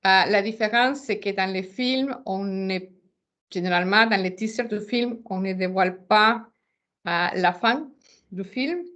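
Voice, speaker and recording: A woman's voice: mid-range voice, a little slow, a bit bright. A non-native French-speaking woman with a foreign accent presenting a webinar informally, searching for words and often repeating them. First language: not French.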